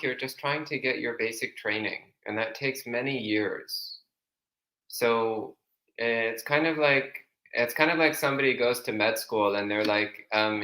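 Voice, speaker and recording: A man's voice: medium pitch, bright, forceful tone, roomy sound, low-quality recording. A man speaking as a monk who gives plain, practical advice with everyday comparisons. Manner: plain-spoken, uses everyday analogies. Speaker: monk answering questions. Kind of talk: casual explanatory advice.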